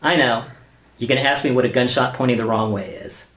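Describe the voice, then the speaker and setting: An actor playing a hesitant, exasperated government office worker and husband in a sitcom-style radio play, with a wry manner. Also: male voice, mid-range voice, fairly even intonation, fairly quick, fairly bright tone, lightly acted. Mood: patient, weary. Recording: roomy, echoey sound.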